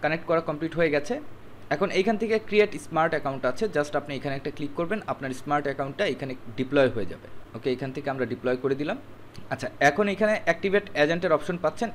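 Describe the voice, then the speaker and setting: A man's voice: fairly high pitch, a little hiss or hum. A man narrating a screen tutorial step by step, instructive and mixing in English words. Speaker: tutorial presenter.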